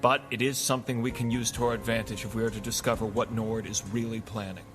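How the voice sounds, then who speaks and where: An actor playing a serious, resolute strategist hero in a radio drama, speaking in a formal, deliberate way. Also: male voice, lowish voice, flat delivery, over music, natural voice. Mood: grave, composed.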